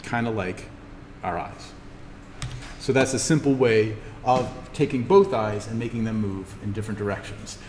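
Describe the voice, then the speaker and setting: A man teaching an introductory animation class, talking informally through software steps and thinking aloud as he demonstrates. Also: on the low side, moderate pace. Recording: steady noise behind the voice.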